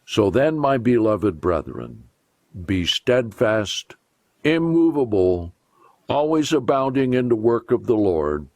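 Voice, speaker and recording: Male preacher for solemn scripture reading: low voice, widely varied intonation, deliberate and emphatic delivery. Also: big pitch swings, darkish tone, no room sound, clean studio sound.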